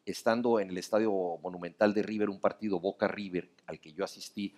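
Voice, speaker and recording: Middle-aged Mexican man, lowish voice, fast storyteller, seated stage panel. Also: steady tone, darkish tone. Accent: Mexican Spanish. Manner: fast, long flowing anecdotes. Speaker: festival panelist, writer. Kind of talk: onstage conversation. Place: dark theater stage, seated in armchairs.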